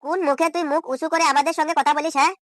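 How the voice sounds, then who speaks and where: A man voicing an exasperated, scolding ordinary village man in an amateur comedy cartoon, repeating questions indignantly through a pitch-shift effect. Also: steady tone, racing, a bit bright, dry recording, studio quality.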